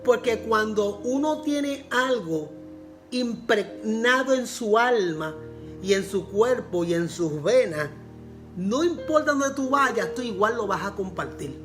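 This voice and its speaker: A man's voice: high-pitched, expressive, takes it slowly, fairly bright tone. Pastor, man with a Puerto Rican accent, earnest repeating sermon delivery, faint music bed. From Puerto Rico. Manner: repeats phrases for emphasis, earnest preaching.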